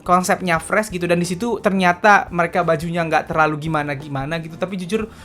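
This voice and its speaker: A man's voice: sits high, fairly even intonation, fast, fairly bright tone. A man, chatting casually and candidly as a K-pop news vlogger. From Indonesia. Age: late 20s. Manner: chatty and confessional, slangy, often ends with "gitu". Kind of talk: casual solo vlog commentary.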